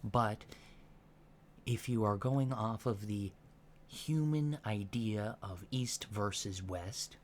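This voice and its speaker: A man as a soft, slow-talking alien instructor. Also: on the low side. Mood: calm, instructive. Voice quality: soft, hushed voice. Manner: deliberate, deadpan, instructional. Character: soft-spoken alien instructor in human disguise, earnest and matter-of-fact. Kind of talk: soft-spoken ASMR roleplay lecture. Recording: lo-fi with steady background noise.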